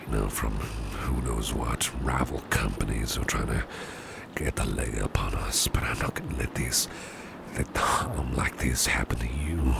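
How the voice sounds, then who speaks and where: A man playing a doting, protective businessman boss with a sly edge in an ASMR roleplay, gravelly and breathy at times, with genteel, old-fashioned phrasing. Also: a bass voice, moderate pace, heavy background noise, exaggerated voice. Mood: protective, earnest. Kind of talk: ASMR roleplay, soft personal attention.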